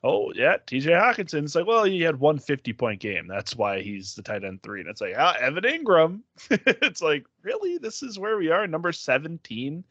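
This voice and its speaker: A man with a nasal voice co-hosting a casual sports podcast, animated and quick to laugh. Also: on the high side, dry recording, highs cut off. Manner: animated storyteller, acts out quotes, laughs often. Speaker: podcast co-host.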